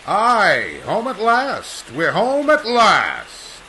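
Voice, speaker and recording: Man acting a proud, forceful, formal aviator. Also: high voice, wildly swooping pitch, extremely slow, a little hiss or hum, heavily acted. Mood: weary triumph. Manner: formal, old-fashioned diction. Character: proud male aviator home from three lost years, curt, formal. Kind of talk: 1940s radio acting.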